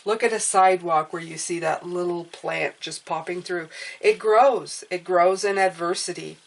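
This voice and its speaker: A woman's voice: on the low side, steady tone, moderate pace, creaky. A woman giving a casual tarot reading who sounds stuffed up and nasal, speaking encouragingly and often checking in with "okay?". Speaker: tarot reader.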